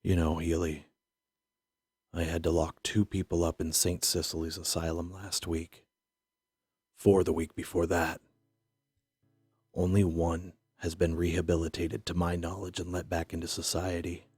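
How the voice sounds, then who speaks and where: A man acting out a stern, weary police officer in an audio drama. His voice is very low and slightly husky. He delivers pointed, lecturing warnings with little rise or fall. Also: flat delivery, a little slow, pauses often, darkish tone, dry recording, clean studio sound.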